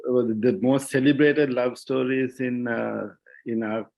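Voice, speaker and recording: A man with a Sri Lankan accent talks in a panel discussion at a middling pitch. He is reflective and halting, often repeating a phrase while he looks for the next word. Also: steady tone, creaky, darkish tone. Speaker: panelist, journalist and writer. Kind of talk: thoughtful discussion in an online panel. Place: online discussion call.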